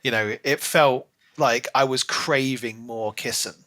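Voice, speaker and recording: A man's voice: mid-range voice, steady tone, measured pace, bright, forceful tone. A man co-hosting a podcast, discussing books casually and animatedly, acting out imagined remarks as he goes. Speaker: podcast co-host. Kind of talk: casual book discussion chat.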